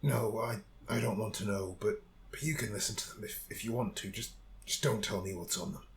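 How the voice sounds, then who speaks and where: An actor playing a gentle, shaken young man who speaks haltingly in an audio drama. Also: on the low side, gravelly. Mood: gentle, uneasy. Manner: hesitant, halting.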